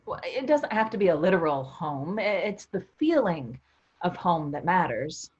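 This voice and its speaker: A woman acting in a staged play reading as an earnest, puzzled invisible sibling who gently encourages others, with a husky voice and dull lo-fi sound. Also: on the low side, big pitch swings, moderate pace. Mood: eager, encouraging.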